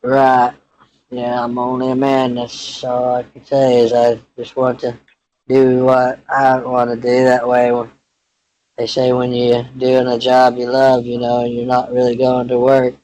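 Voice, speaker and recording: A man acts out a young Texan ranch hand with a very monotone delivery. He is laid-back and folksy, rambling through his phone conversation in halting phrases at a middle pitch. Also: no room sound, close to an everyday voice, low-quality recording. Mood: folksy, frank. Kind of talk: improvised prank phone call in character.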